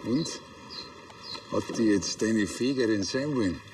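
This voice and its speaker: A man's voice: fairly low pitch, lively intonation, unhurried, a little mellow, echoey room. Wry Bavarian policeman. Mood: dry, sardonic. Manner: dry, sardonic, colloquial. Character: wry, grumbling Bavarian male policeman in TV crime comedy.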